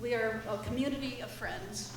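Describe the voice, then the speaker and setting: A woman's voice: on the high side, normal speed. A woman speaking informally to a live audience as a musician introducing her group's songs. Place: indoor library art gallery, live audience. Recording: echoey room, distant mic, faint noise.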